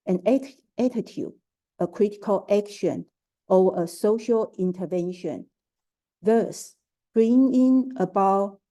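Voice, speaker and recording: A woman with a Taiwanese accent talks at an unhurried, measured pace, often stopping to hesitate or restart a word. Her tone is very dark and warm. She sounds like an artist presenting a prepared talk over a video call. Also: medium pitch. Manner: careful and halting, with frequent hesitations and restarts. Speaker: artist, curator and art educator. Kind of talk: prepared talk at an online forum.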